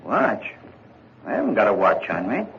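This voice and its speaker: A folksy, unhurried village constable. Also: male voice, lowish voice, huge pitch swings. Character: easygoing rural male village constable. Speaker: radio drama supporting actor. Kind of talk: 1940s radio acting. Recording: dull old radio recording, faint hiss.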